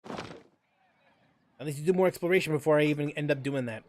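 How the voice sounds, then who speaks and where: A man hosting a casual gaming livestream, chatting in a halting way and often repeating and restarting his phrases. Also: on the high side, darkish tone, dry recording. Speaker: livestream host, gamer.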